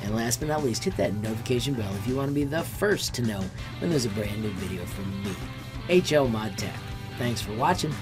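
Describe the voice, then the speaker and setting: A man's voice: mid-range voice, lively intonation, brisk, music in the background. A man in his thirties, a teacher hosting his own channel, giving an enthusiastic screen-share update and calling viewers friends. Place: indoor room.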